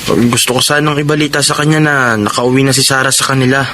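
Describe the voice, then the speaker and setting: A man's voice: mid-range voice, fairly even intonation, quick pace, natural voice. A man playing a respectful, earnest young son in a Filipino radio drama, talking politely. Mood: troubled. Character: respectful, troubled young son of a charitable mother. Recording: clearly noisy radio drama recording.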